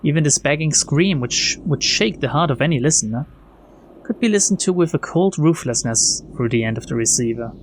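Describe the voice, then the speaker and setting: A man's voice: a little high, expressive, a little fast, a little hiss or hum. A man hosting a game video, reading text aloud and adding wry, casual commentary. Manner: informal, wry. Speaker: gaming video host. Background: soft game music.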